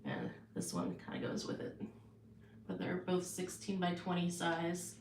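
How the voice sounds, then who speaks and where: A woman's voice: lowish voice, wildly swooping pitch, a little slow, gravelly, a little mellow, reverberant, slight background noise. A woman artist casually showing her paintings on a livestream, rambling with many fillers and restarts, in her home art studio.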